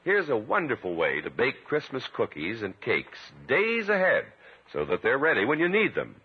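Male 1950s radio announcer, husky, sweeping intonation, emphatic sales delivery, tinny vintage recording. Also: mid-range voice, huge pitch swings, moderate pace. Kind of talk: 1950s radio commercial and scripted narration.

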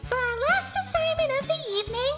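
Squeaky, very high little mouse. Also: female voice, expressive, a little fast. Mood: chirpy, confident. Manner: chirpy, reassuring. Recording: music behind the voice.

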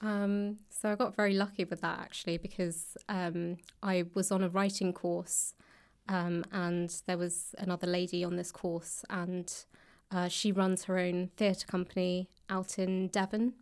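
A woman answering as a guest in a podcast interview, speaking conversationally in a thoughtful, hesitant way with frequent pauses. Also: on the low side, little pitch movement, darkish tone. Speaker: guest author and actor. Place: library room.

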